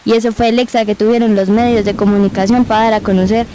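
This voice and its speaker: A woman's voice: mid-range voice, little pitch movement, fast talker, dark tone, a little hiss or hum, lightly acted, rough recording. A woman playing a folksy Colombian grandmother who tells stories colloquially in an amateur radio drama. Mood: reminiscing. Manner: colloquial, storytelling. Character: folksy storytelling Colombian grandmother, rueful, fond of her grandchildren.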